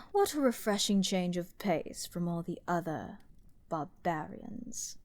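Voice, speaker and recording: A woman playing a haughty, animated spoiled princess. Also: lowish voice, slow, no echo. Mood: intrigued, condescending. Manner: haughty, theatrical, complaining. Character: arrogant young princess, haughty, petulant and spoiled. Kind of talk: dramatic roleplay audio acting.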